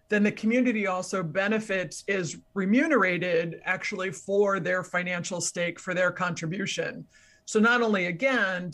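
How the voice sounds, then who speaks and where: A woman's voice: on the low side, fairly bright tone. A woman speaking as a guest expert, explaining methodically in conversation with examples and contrasts.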